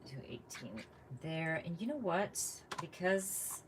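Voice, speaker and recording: A woman's voice: on the low side, very animated, slow, background noise. A woman from the United States chatting casually while she crafts live, thinking aloud and laughing often. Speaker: craft vlogger.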